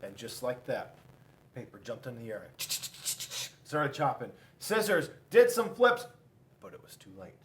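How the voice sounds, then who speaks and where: A man reading a children's storybook aloud in an animated style, with dramatic emphasis and drawn-out words. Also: medium pitch, slight background noise. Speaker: police school resource officer reading to children.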